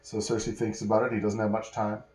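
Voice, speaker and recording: A man with a fairly low voice tells a bedtime story in a room with clear echo. He narrates in an improvised way, pausing and correcting himself as he builds the scene. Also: completely flat delivery, a little mellow, soft background music. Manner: pauses and self-corrections while telling. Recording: echoey room.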